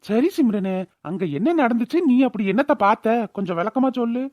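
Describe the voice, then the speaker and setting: A man's voice: very high-pitched, lively intonation, a little fast, dull audio. A man voicing a stern village council headman in a comedy cartoon, with speech and an admonishing, colloquial manner. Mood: questioning.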